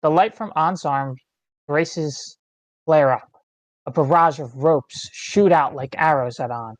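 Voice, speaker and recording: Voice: medium pitch, takes it slowly, dry recording. Stage-direction narrator: terse dramatic phrasing, scripted table read, close dry sound. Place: online meeting, close dry mic.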